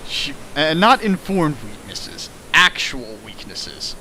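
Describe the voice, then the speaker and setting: A young man reading a fan fiction aloud and riffing on it, with big comic swings of pitch. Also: slow, slight background noise, rough recording. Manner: animated, jumps in pitch for jokes and sound effects. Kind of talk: comedic dramatic reading with riffing.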